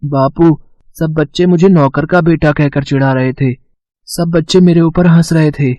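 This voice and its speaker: A man's voice: on the high side, steady tone, normal speed, warm tone, dry recording, close to an everyday voice. A man voicing an earnest, hardworking schoolboy in a cartoon moral story. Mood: hurt. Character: earnest, hardworking poor schoolboy, a servant's son.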